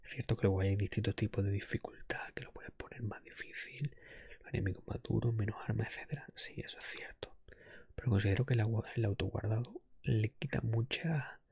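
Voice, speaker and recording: A man hosting an ASMR podcast monologue in a hushed, breathy whisper, explaining things hesitantly. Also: on the low side, brisk, no echo. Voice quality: breathy, mostly unvoiced whisper. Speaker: podcast host.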